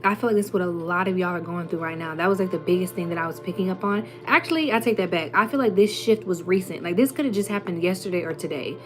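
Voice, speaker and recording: A young woman giving a casual, emphatic motivational talk to her viewers, full of slang and little acted-out voices, with soft music playing underneath. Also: fairly low pitch, fast talker, few pauses. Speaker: spiritual content creator.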